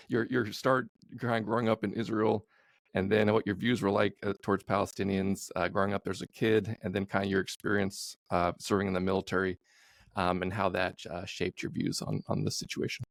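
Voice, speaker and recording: A man in his forties hosting a podcast, asking casual, halting questions into a studio mic with headphones. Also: fairly low pitch, little pitch movement, gravelly, no room sound. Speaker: podcast host. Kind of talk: podcast interview.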